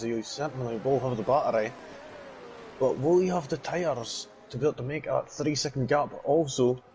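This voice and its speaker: A man co-commentating a sim race in a casual, chatty way, breaking into excited exclamations when cars go off. His voice sits at an ordinary pitch, and a faint hiss of noise runs under it. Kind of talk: sim racing color commentary.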